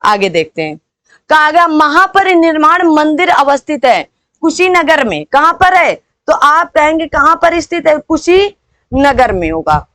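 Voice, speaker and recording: A woman teaching an exam-preparation lesson, repeating points and prompting listeners with quick rhetorical questions. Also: high-pitched, sing-song, a bit bright, no echo, clean studio sound.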